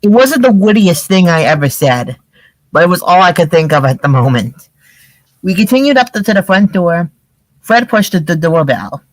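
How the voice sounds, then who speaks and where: A storybook reader narrating in an amateur read-aloud style, with halting casual asides. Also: mid-range voice, sing-song, no room sound, rough recording. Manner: halting, repeats phrases when searching.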